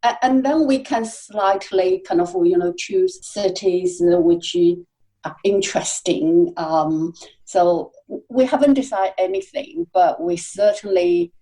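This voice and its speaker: Middle-aged woman from China, non-native speaker, hesitant and thoughtful, low mellow voice. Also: measured pace, low-quality recording. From China, Hangzhou. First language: Chinese. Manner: hesitant, many fillers and restarts. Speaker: guest, series editor. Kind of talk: conversational interview.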